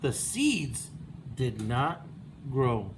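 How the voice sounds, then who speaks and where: A man's voice: medium pitch, sing-song, very slow, noisy background. A man speaking as a first-grade teacher, narrating a read-aloud for young children.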